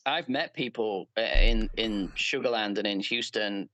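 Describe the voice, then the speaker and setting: An English man from Leeds chatting as a guest, earnest and halting with many fillers and restarts. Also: lowish voice, bright, forceful tone, no room sound. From Leeds, England. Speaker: guest player. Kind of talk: casual chat.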